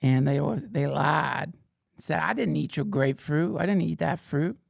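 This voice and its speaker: Middle-aged male host, gravelly, raised pitch, mock-indignant comic riffing, dry sound. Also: lively intonation, moderate pace, no echo. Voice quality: playfully mock-indignant, swings to whispers and shouts. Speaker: podcast host reading chat questions. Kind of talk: comedic livestream banter in a mock persona.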